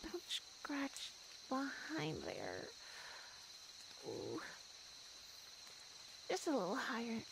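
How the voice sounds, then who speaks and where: A woman voicing a lazy, possessive female tiger monster in an ASMR roleplay, with slow, purring, teasing speech. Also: a little high, wildly swooping pitch, creaky, background noise, full character voice. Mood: purring, content.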